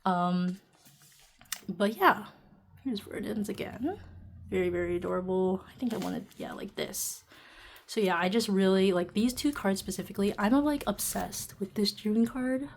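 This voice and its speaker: A woman vlogger chatting casually and a little quietly while sitting down at home, with lots of fillers and laughs. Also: mid-range voice, moderate pace. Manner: talking softly while seated. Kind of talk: casual chatty vlog narration. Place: indoors at home, seated.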